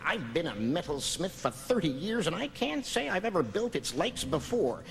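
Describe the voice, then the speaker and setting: Creaky, high-voiced folksy old metalsmith. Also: male voice, big pitch swings, moderate pace, exaggerated voice. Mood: proud puzzled. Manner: rustic, colloquial, rambling. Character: folksy veteran male metalsmith, proud, skeptical, superstitious rustic. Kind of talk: theatrical radio drama acting. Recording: radio play in reverberant hall.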